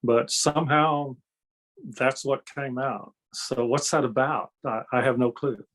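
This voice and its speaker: A man's voice: medium pitch, steady tone, unhurried, a bit bright, low-quality recording. An older man with a mid-range voice talks as a guest on an interview show. He explains in a folksy, conversational way, slipping into anecdotes and often adding small tags to check he is understood. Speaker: interview guest, energy healer and kung fu master.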